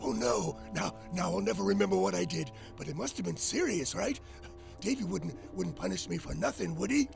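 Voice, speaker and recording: An actor voicing a forgetful, guilt-ridden prisoner of a pirate in a video game, theatrical and rambling. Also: male voice, sits high, lively intonation, a little fast, creaky, music in the background, exaggerated voice. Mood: anxious, pleading. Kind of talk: video game character acting.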